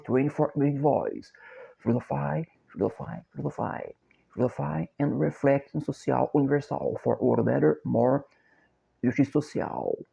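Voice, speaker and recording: A middle-aged Brazilian man with a Portuguese accent, speaking English slowly and haltingly in a repetitive, chant-like monologue. He repeats short phrases over and over like a mantra. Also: mid-range voice, creaky, dark tone, slightly rough. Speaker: self-described copywriter and philosopher. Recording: dull lo-fi recording.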